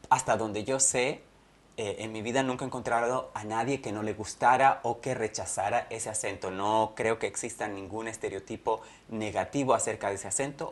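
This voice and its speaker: A man teaching a Spanish lesson to learners in a measured, thoughtful way, often pausing and correcting himself. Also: on the low side. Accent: not Colombian. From not Colombia. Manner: measured, thoughtful, with frequent hesitations and self-corrections. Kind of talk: explanatory video lesson to learners.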